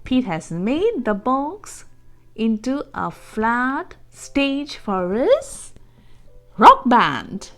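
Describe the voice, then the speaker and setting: A woman reading a children's storybook aloud as host, expressively and deliberately, adding explanations and questions for young listeners. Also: on the high side, huge pitch swings, a bit bright, faint music behind.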